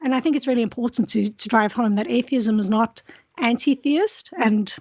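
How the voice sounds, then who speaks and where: A woman's voice: a little high, normal speed, a little mellow, no echo. A woman from South Africa with a South African accent, a PhD student talking candidly and thoughtfully as an interview guest. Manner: thoughtful, candid, frequent restarts. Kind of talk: conversational interview.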